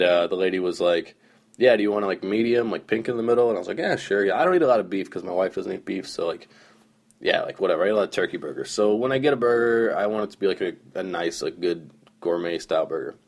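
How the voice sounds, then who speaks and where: Young male podcast host, hoarse and groggy, deep voice, rambling, self-deprecating storyteller. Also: fast talker. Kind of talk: casual solo storytelling.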